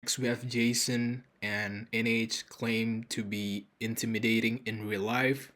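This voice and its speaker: A man hosting his channel, introducing video clips in a plain, measured narration. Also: fairly low pitch, flat delivery, a bit bright.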